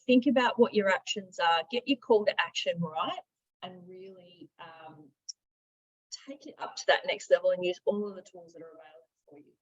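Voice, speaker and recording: A woman trainer talking through a software tutorial in a conversational, instructive way. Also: mid-range voice, husky, muffled recording. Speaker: software trainer, marketing and sales director. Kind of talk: screen-share software tutorial.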